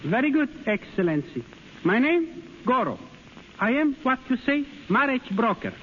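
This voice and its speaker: An actor in a 1930s radio drama playing a fawning, greedy Japanese marriage broker, with a put-on Japanese accent and broken English. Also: male voice, very high voice, big pitch swings, moderate pace, long reverb tail. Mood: deferential. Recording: old muffled hissy 1930s radio broadcast.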